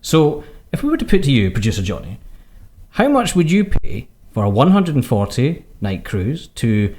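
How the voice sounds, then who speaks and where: A man's voice: medium pitch, wildly swooping pitch, moderate pace, darkish tone. Jokey Scottish man co-hosting a podcast. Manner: jokey, enthusiastic, fond of hyperbole. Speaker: podcast co-host, cruise editor. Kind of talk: casual podcast chat.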